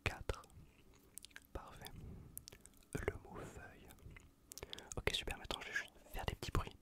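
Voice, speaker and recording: A man performs an ASMR examination roleplay entirely in a breathy whisper. He gives casual, colloquial instructions and soothes with gently repeated words. The voice sits close to the microphone, airy and unvoiced. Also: measured pace. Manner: casual, colloquial, reassuring instructions with soft repetition. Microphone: close USB condenser microphone.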